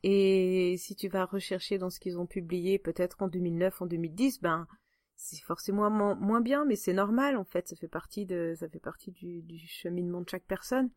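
A woman talking casually as a podcast host in relaxed conversation. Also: lowish voice, normal speed, no room sound, clean studio sound. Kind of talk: casual chat.

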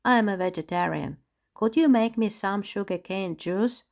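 A woman voicing a friendly, gentle female tigress in a storybook, reassuring and merry. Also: mid-range voice, normal speed, vocal fry, natural voice.

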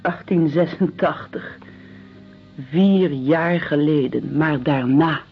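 A woman's voice: sits low, slow. An actress playing a troubled, guarded young woman in a radio drama, earnest in her delivery. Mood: anxious, despairing. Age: young adult. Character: anxious young woman searching for her exiled brother. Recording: dull radio recording with music.

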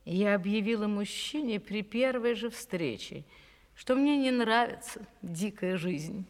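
An actress playing a confident, bewitching cave woman in a fairy-tale audio play, speaking in a measured, expressive way. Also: mid-range voice, big-room sound, lightly acted. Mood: firm, homely.

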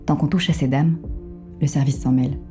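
A woman playing the wry omniscient narrator of a noir audio drama, measured and faintly ironic as she tells the story. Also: deep voice, fairly even intonation, dark tone, faint music behind, natural voice. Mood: detached, wry.

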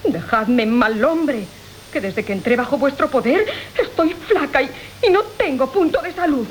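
An actress playing a scolding, manipulative young wife in a classical comic radio play, theatrical and wailing. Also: female voice, high-pitched, expressive, moderate pace. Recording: hissy old radio recording.

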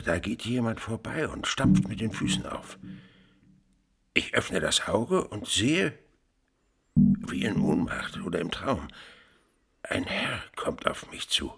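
An actor playing a frightened, muddled peasant suspect in a period radio play, halting, repetitive and servile. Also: male voice, fairly low pitch, gravelly, fairly bright tone, husky, full character voice.

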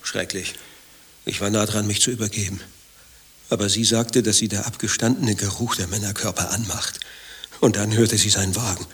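A man's voice: lowish voice, steady tone, moderate pace, a bit bright, slightly rough. An actor playing an anxious, grumbling married man with a secret lover, telling his troubles in fretful clipped phrases in a satirical radio play. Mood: exasperated. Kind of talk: dialogue-driven satirical radio play acting.

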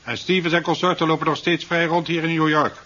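Raspy, forceful impatient male commissioner. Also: fairly high pitch, fairly even intonation, fast talker. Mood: impatient, stern. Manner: curt, demanding, stern. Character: impatient, domineering male secret police commissioner. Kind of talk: 1970s radio drama acting. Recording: dull, band-limited 1970s radio recording.

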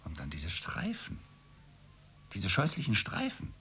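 An actor playing an irritable, scornful middle-class husband in a radio play, sarcastic and emphatic. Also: male voice, sits low, huge pitch swings, measured pace, sharp tone, exaggerated voice. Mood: irritated, outraged.

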